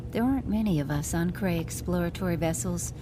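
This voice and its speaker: A woman's voice: lowish voice, a little fast, natural voice. A woman playing a polite alien surgeon in a science fiction audio drama, speaking in a formal, clinical manner. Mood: calm, clinical. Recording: clearly noisy audio drama track.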